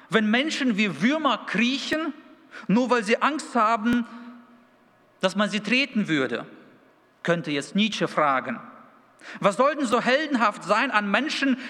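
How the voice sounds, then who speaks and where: A youth pastor in his thirties, a man with a high, bright, forceful voice. He starts conversational and loose, then reads aloud slowly and with care. His voice rings out in a church hall with a long reverb. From Kazakhstan. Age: 30s. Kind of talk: sermon.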